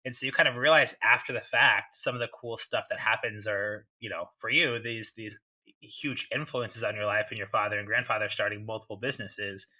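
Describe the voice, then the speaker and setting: Thirties guy hosting a podcast, super bright voice, rambly casual questions. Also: fairly low pitch, fairly even intonation, a little fast. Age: 30s. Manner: chatty, loose, asks long multi-part questions. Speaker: podcast host. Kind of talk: casual interview chat.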